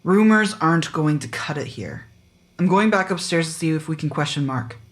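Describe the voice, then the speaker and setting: A woman's voice: sits low, lively intonation, moderate pace. A woman playing a blunt, skeptical small-town sheriff with a curt, demanding manner, in a naturalistic audio drama. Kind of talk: naturalistic audio fiction podcast acting.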